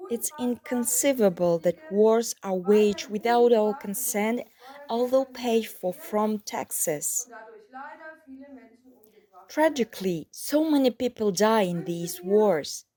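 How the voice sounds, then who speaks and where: A woman reading translated statements as a voice-over, in a steady, earnest manner. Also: mid-range voice. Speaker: voice-over interpreter.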